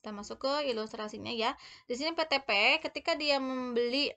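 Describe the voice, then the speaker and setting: Female lecturer, slightly high voice, for instructional video; explains in steps, with hesitant restarts. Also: sharp tone. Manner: halting, step-by-step explaining with frequent self-corrections. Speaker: accounting lecturer.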